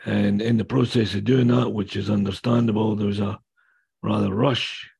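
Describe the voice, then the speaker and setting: A man in his sixties with a raspy voice from damaged vocal cords, speaking plainly and bluntly as a guest speaker telling his own story. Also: lowish voice, flat delivery, moderate pace, a little mellow. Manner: plainspoken, blunt, mild swearing. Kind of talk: personal testimony talk.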